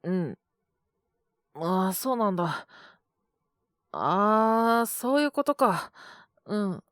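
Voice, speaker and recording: A woman voicing a gentle young male teacher who is feverish and coughing, speaking softly and tiredly. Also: mid-range voice, sing-song, takes it slowly, no echo, clean studio sound. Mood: flustered, chatting. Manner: soft, tired, mumbling, often whispered. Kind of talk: audio drama for women listeners.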